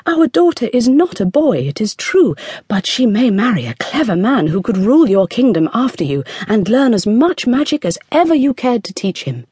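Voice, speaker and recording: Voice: medium pitch, very animated, normal speed, darkish tone, husky, no echo, pitched above the performer's own voice. A storyteller voicing an anxious fairy-tale queen who pleads in a raised, fretful voice. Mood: pleading.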